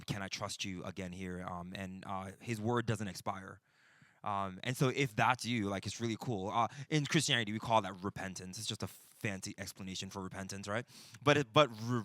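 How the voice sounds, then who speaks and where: Bright-voiced man teaching like a pastor. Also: fairly low pitch, no echo, clean studio sound. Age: 40s. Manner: conversational, often checks in with "right?". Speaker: pastor teaching a ministry breakout session. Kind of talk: informal sermon and teaching.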